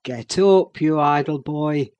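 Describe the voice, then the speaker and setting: A man's voice: fairly high pitch, takes it slowly, darkish tone, muffled recording. A man voicing a bitter, scornful middle-aged man in an audiobook, speaking with harsh sarcasm. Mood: gruffly hearty. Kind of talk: audiobook character reading.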